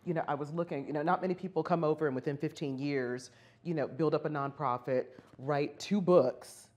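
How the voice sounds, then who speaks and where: A woman interviewing a guest for a local TV station, asking encouraging questions. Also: deep voice. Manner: warm, encouraging, quick questions. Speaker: TV news interviewer. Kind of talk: interview conversation.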